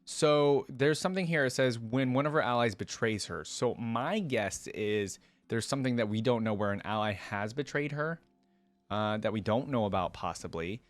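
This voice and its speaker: A man's voice: mid-range voice, moderate pace, no echo. A man hosting a reaction video, reading lore aloud in an informal way and adding his own casual commentary. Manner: informal, interjects own thoughts while reading.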